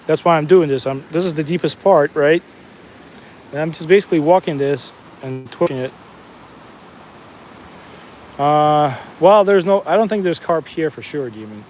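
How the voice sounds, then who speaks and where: A man's voice: a little high, normal speed, pauses often, a little mellow, a little hiss or hum, low-quality recording. A man with a New Jersey accent chatting casually with live viewers while he fishes outdoors, answering comments with frequent restarts. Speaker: fishing livestream host. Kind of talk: casual live-stream chat while fishing. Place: outdoors at a fishing spot, walking the bank.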